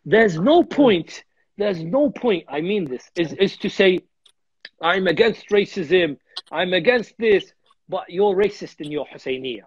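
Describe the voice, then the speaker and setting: A man's voice: sits high, lively intonation, a little slow, breathy edge, no echo, muffled recording. A man in his thirties from Iraq, a guest speaker in a religious discussion on a video call, earnest and repeating words as he thinks. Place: home room on a video call.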